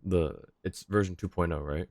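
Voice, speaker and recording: A man with a low voice, streaming a game and chatting with viewers. He is easygoing and polite, thinks aloud, and is recorded close and dry. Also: steady tone, normal speed, darkish tone, no room sound. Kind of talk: casual livestream chat.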